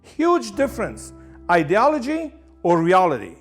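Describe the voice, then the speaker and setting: Man, emphatic testimony, higher voice, soft music under. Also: huge pitch swings, a little slow. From Romania. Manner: emphatic, repeats phrases for force. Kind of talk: personal testimony to camera.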